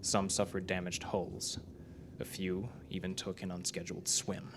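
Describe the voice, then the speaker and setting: A man's voice: low-pitched, completely flat delivery, normal speed. A man reading a scripted ghost story as a narrator, recorded live in a club with background noise. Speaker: story reader. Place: performance club before a live audience.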